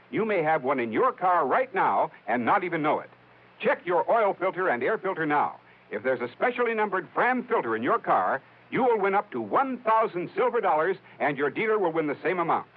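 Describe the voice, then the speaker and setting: Brisk salesy announcer guy, bit high, old phone-like radio audio. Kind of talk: 1950s radio commercial.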